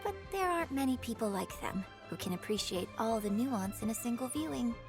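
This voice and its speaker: An actress voicing a poised young opera star in a game, gracious but weary, with measured, refined delivery. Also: female voice, on the high side, lively intonation, over music. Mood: concerned. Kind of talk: voice-acted game cutscene dialogue.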